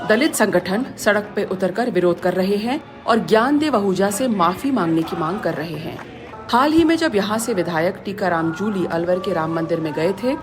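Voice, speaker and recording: Female news voice-over over music. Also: mid-range voice. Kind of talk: scripted news narration. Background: clear music bed.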